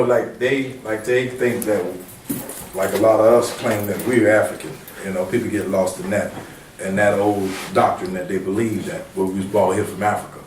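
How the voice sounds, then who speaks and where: A man's voice: on the low side, a little mellow, a little hiss or hum. A man in a casual religious discussion who talks steadily and reads scripture aloud. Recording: echoey room, distant mic.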